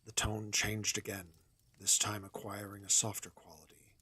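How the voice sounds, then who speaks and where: A man narrating a horror story in a hushed, whispery, lingering voice for his stream audience. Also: lowish voice, flat delivery, normal speed.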